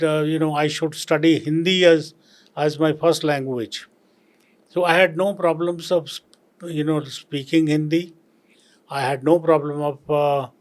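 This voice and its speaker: Older man, Indian accent, fairly high voice, slow thoughtful answers, lots of pauses. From Punjab, India. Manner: slow and reflective, many fillers and restarts. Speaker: retired civil servant guest. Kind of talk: interview answers.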